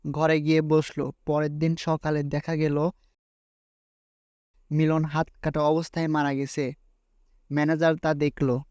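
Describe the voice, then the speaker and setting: A man's voice: on the high side, level tone, measured pace, pauses often, darkish tone, smooth. A man reading scripted narration for a cartoon story. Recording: faint noise with night insects.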